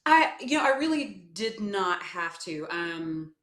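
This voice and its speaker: A woman from South Carolina with a naturally low voice, giving thoughtful, hesitant interview answers full of fillers and restarts. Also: big pitch swings, takes it slowly, a bit bright. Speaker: guest, voice coach and actor. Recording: echoey room.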